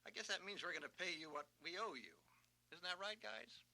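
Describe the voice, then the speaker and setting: An actor playing a breezy, cocky leader of an action team in a 1980s audio drama. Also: male voice, high voice, animated, a little fast, creaky, very noisy. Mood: mock casual.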